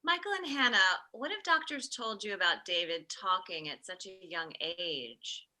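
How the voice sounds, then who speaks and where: Woman playing a sharp, bright-voiced family therapist. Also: mid-range voice, lively intonation, moderate pace, poor audio. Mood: probing. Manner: probing, matter-of-fact. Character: brisk blunt fifty-year-old female family therapist. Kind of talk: live table read of an animated comedy script.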